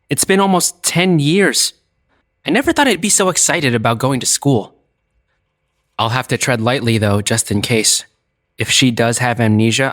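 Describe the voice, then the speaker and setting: A man's voice: medium pitch, a bit bright, natural voice. A man playing an earnest, eager teenage boy who muses to himself in an audio drama monologue. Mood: hopeful, cautious. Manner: musing to himself. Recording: music under the voice. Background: music.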